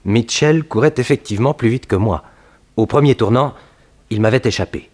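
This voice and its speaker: An actor playing an earnest detective's sidekick, narrating a 1960s French radio serial in a measured, dramatic storytelling style. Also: male voice, mid-range voice, darkish tone, lightly acted. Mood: wry, tense. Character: earnest male detective's sidekick recounting adventures.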